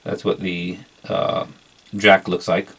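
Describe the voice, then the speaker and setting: A man with a low voice talks through a casual unboxing review. His delivery is flat and halting, moving without hurry from one feature to the next as he shows it. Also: vocal fry, husky. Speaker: product reviewer.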